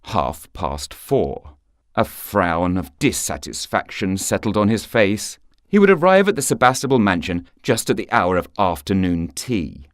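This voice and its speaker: A man narrating a short story for radio in a theatrical, expressive manner. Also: low voice, a little slow, no echo, studio quality. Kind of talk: scripted literary narration for radio.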